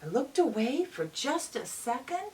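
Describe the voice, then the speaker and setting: Slow, expressive woman reading to children. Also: high-pitched. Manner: gentle teacherly phrasing, pausing to ask questions. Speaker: teacher reading a picture book aloud to children. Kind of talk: children's read-aloud with questions to young listeners. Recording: distant mic with faint hiss.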